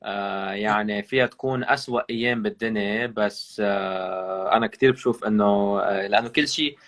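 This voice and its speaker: A man from Lebanon with a Lebanese accent, a guest talking over a video call, mixing English and Arabic with many pauses. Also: on the low side, fairly bright tone. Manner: switches between English and Arabic mid-sentence; talks with many hesitations and restarts. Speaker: podcast guest. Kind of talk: casual interview over a call.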